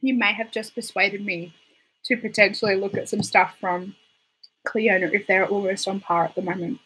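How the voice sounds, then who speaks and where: This is a woman talking into a desktop mic on a casual beauty livestream. Her voice is husky and hoarse from a recent illness, and her pitch sits in an ordinary middle range. She rambles, trails off and giggles at her own asides. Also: fairly even intonation, moderate pace, a bit bright, low-quality recording. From Australia. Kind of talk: casual livestream chat reading viewer comments. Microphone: desktop microphone.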